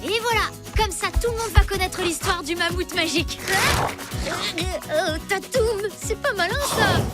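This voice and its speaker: A woman's voice: extremely high pitch, moderate pace. A woman playing a spirited, loyal, kind-hearted young boy in a French cartoon dub, earnest and exclamatory. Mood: playful. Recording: music bed under the dialogue.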